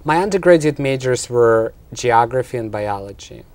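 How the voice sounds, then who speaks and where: A man's voice: medium pitch, expressive, normal speed, a little mellow, no room sound. A man from Russia with a Russian accent, answering interview questions in an explanatory way, as a college instructor. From Russia and Ukraine.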